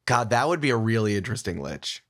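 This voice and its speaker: A man talking as a podcast guest, casual and animated, thinking aloud and repeating phrases for emphasis. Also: lowish voice, quick pace.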